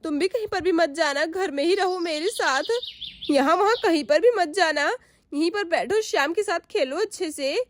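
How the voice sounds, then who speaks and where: एक महिला एक चिंतित गाँव की माँ की आवाज़ निकालती है, जो बच्चों को बचाने के लिए गिड़गिड़ाती है।. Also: female voice, very high voice, normal speed, slight background noise. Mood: caring. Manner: pleading, anxious. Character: worried village mother, protective and pleading.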